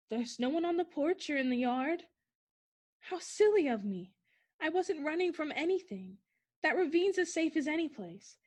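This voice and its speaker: A woman playing a terrified young woman fleeing home alone at night, talking to herself in breathless, repeated bursts in the style of a 1940s radio play. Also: sits high. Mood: relieved. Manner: breathless, frantic self-talk in short repeated bursts.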